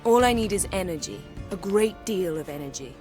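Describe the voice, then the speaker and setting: A woman's voice: fairly low pitch, expressive, a little fast, a little mellow, faint music behind, natural voice. A woman playing a haughty, impatient sorceress in a video game, with an imperious, sarcastic way of talking. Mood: dry, haughty. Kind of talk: dramatic video game acting.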